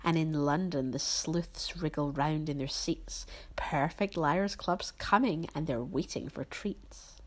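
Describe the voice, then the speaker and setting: A woman reading rhyming storybook narration as a storyteller, with theatrical, drawn-out emphasis. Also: low-pitched.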